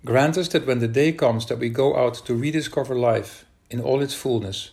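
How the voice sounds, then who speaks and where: Calm Dutch-accented minister praying. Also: male voice, medium pitch, moderate pace, gravelly. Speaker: minister leading worship. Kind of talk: online worship service, prayer and scripture reading.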